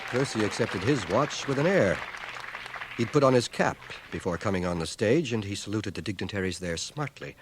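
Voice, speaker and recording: An actor playing a wry returned soldier, narrating his memories in a measured, literary radio-drama style. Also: fairly low pitch, animated, background noise, lightly acted. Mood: wry, reflective. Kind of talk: scripted first-person radio drama narration. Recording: 1980 radio drama cassette, music and crowd behind. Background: music and crowd.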